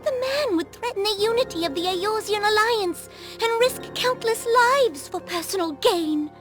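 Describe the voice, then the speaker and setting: A woman's voice: extremely high pitch, expressive, normal speed, music in the background. An actress playing a solemn, regal young female ruler in a fantasy video game, with formal, archaic phrasing. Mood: indignant. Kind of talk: scripted video game drama.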